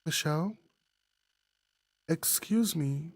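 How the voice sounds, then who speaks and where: A woman voicing a gentle, considerate young woman in a visual novel. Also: deep voice, slow, no echo, pitched above the performer's own voice, exaggerated voice. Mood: concerned.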